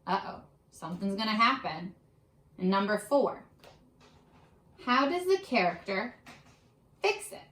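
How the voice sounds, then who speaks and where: A woman reading aloud to children as a teacher, addressing them directly with questions in an animated, instructional way. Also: medium pitch, measured pace, pauses often. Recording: roomy, echoing sound.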